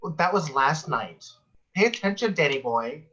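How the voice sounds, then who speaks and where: A sassy, suggestive male sitcom co-worker played by an actor, with a bright, fairly high voice and cheeky teasing delivery. The video call audio is a little dull. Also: expressive, moderate pace. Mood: teasing, flirty. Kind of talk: sitcom table read.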